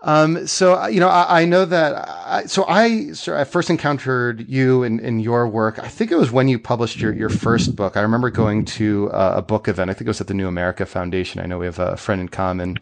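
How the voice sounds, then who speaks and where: A man's voice: mid-range voice, fairly even intonation, fairly quick, gravelly, few pauses. A man hosting an interview, asking friendly, rambling questions. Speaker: interviewer host. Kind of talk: interview conversation.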